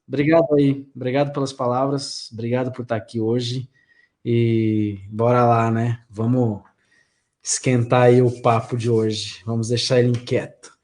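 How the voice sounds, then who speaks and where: A man's voice: mid-range voice, little pitch movement, moderate pace, darkish tone. A male host of a live video-call interview show, casual and chatty, reading out viewers' comments. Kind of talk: live-stream interview hosting.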